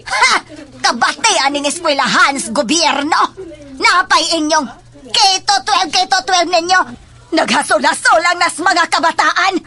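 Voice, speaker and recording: A woman's voice: very high voice, measured pace, sharp tone, exaggerated voice. A woman playing a haughty, furious rich mother who scolds with contempt in a melodramatic audio drama.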